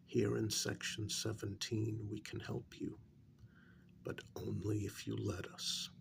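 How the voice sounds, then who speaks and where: A man playing a calm, menacing veteran werewolf agent in a soft ASMR role-play, gravelly and often near a whisper, with deliberate pauses. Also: lowish voice, noisy background, full character voice. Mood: confiding, intense. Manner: slow, deliberate, pausing between short phrases.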